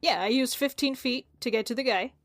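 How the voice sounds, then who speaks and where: A woman's voice: sits high, fairly quick, dry recording. Woman gamer in a very dry recording. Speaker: player in a tabletop game. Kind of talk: casual game talk.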